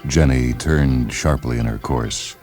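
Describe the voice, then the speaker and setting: A man narrating a science fiction short story in a measured, literary storytelling manner. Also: rumbling low, a little mellow. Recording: music behind the voice.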